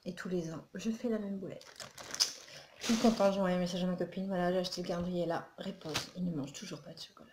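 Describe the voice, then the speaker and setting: A woman's voice: lowish voice, fairly even intonation, fast talker, reverberant, noisy background. A woman from northern France chatting casually through a holiday shopping haul, rambling with lots of fillers and asides, recorded at home. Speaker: vlogger. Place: home room with Christmas tree behind.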